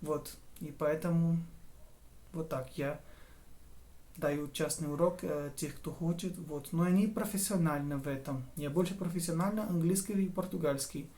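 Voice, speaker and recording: A young man from Brazil with a Brazilian Portuguese accent, a Portuguese native speaker talking casually to camera in halting speech, often stopping to search for words and correct himself. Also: fairly high pitch, dark tone. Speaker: language learner and tutor.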